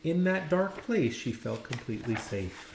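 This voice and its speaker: A man reading a children's story aloud, dramatically, with pauses and stressed words. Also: mid-range voice, very animated, creaky, a little mellow. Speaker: storybook reader. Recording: roomy echo, faint background noise.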